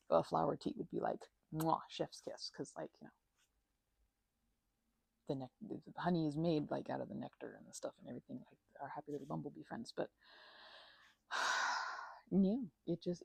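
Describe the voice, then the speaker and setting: Low, husky woman rambling playfully. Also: a little mellow, no room sound. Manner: rambling, playful, tangent-prone. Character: chatty, scatterbrained female best friend hosting tea. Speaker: ASMR roleplay performer. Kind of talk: ASMR roleplay, improvised casual chat.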